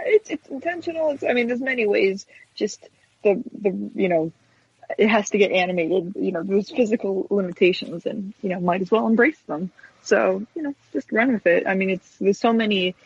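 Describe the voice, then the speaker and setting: A woman's voice: medium pitch, moderate pace, a little mellow, breathy edge. A chatty woman guest, an animator explaining her craft casually in an interview over dull web audio. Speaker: guest stop-motion animator and puppet maker.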